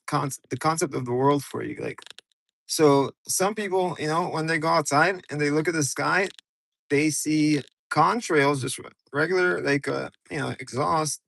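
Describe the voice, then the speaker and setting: A man's voice: fairly high pitch, gravelly, a bit bright, no room sound, poor audio. A man from Ukraine hosting a casual, rambling livestream from his car on his phone, talking loose and slangy straight to his viewers. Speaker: livestream host.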